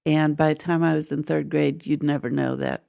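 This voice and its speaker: An older woman with a mixed Midwestern, New York and Southern accent and a trace of Spanish, talking patiently like a teacher in a quiet room. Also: deep voice, flat delivery, moderate pace, gravelly, no room sound, studio quality. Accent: hybrid of Midwest, New York and Southern with a trace of Spanish. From Midwestern United States. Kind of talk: casual conversation.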